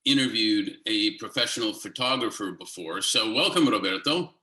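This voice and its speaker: A man's voice: on the low side, lively intonation, moderate pace, bright, forceful tone. A man hosting a casual interview, speaking informally with wordy lead-ins to his questions. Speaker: interviewer, host. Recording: echoey room sound.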